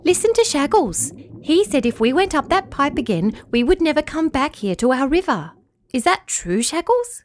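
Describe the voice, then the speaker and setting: A woman's voice: high voice, big pitch swings, normal speed, a little hiss or hum, pitched above the performer's own voice. A woman voicing a smart, sensible young female fish who firmly urges the others. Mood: urgent. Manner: insistent, urging.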